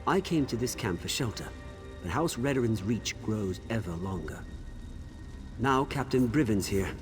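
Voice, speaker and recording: An actor playing a solemn, regretful exiled dark elf officer, telling his story gravely in a fantasy video game. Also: lowish voice, expressive, normal speed, music in the background, lightly acted. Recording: long reverb like a ghostly message.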